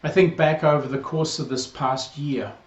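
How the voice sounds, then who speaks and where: A man in his sixties with a New Zealand accent, a priest giving a measured, earnest greeting to a parish. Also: on the high side, steady tone. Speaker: priest, incoming interim rector. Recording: roomy, slightly dull recording.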